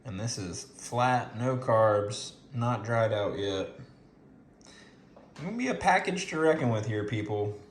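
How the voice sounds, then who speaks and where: A man's voice: fairly low pitch, animated, measured pace. A man giving casual, bragging hype commentary as a supportive onlooker. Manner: hyping, bragging. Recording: echoey room, faint background noise.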